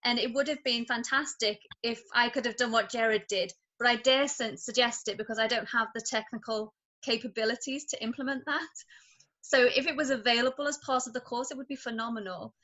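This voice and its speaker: A woman talking on a video call about her children's group, effusive and full of praise. Her voice is bright and sits a little high. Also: steady tone, brisk, lo-fi sound. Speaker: parent and group facilitator.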